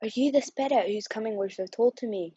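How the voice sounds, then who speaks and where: Shaken, earnest old man, played by a woman: husky, mellow tone, radio play, slightly dull recording. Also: a little high, fairly quick, no echo. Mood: wary.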